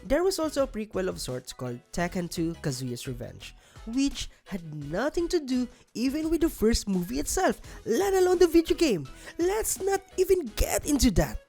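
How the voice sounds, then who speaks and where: A woman's voice: high voice, big pitch swings, normal speed, warm tone. A woman narrating scripted countdown commentary in an opinionated, sardonic, emphatic way. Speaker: video narrator. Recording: music bed under voice-over. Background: background music.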